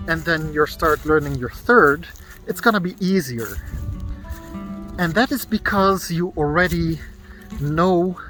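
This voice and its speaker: A man's voice: on the high side, sharp tone, low-quality recording. A man in his thirties from the Netherlands with a Dutch accent, talking casually to camera outdoors in the woods and explaining his thoughts as he goes. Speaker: vlogger.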